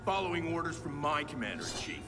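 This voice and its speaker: A man's voice: on the high side, big pitch swings, fast, over loud music. A man playing a stern, dutiful military commander in a video game, with clipped, commanding phrasing. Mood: businesslike, firm. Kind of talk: dramatic video game acting.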